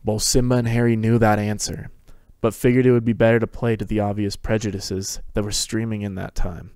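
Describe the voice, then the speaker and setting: Guy narrating fan fiction, lowish voice, really dry close sound. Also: level tone, brisk, darkish tone, smooth, no echo. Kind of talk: dramatic reading of fan fiction.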